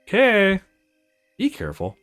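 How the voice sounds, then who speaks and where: A man's voice: high voice, wildly swooping pitch, measured pace, a bit bright, faint music behind, pitched above the performer's own voice, full character voice. A man voicing a caring young woman in a visual novel game, anxious and questioning. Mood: worried. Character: concerned young woman, caring companion of the hero. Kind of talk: visual novel read-aloud.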